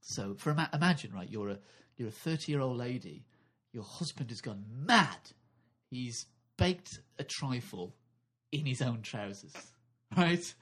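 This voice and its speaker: A man's voice: mid-range voice, lively intonation, measured pace, a bit bright, slightly rough. A man co-hosting a comedy podcast, telling silly improvised stories with lots of giggling. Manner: giggly, playful, silly storytelling. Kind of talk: absurd improvised comedy chat.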